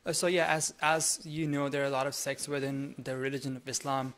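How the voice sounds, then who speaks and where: A man's voice: medium pitch, level tone, brisk, fairly bright tone. A man in his early twenties with a Pakistani accent, a student answering interview questions conversationally.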